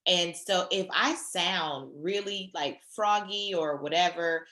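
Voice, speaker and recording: Lady running a zoom training, kinda hoarse, lowish voice, roomy echo on the call. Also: slow pace. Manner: warm, encouraging, conversational. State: slightly hoarse, sore throat. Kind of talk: virtual training session. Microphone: video call.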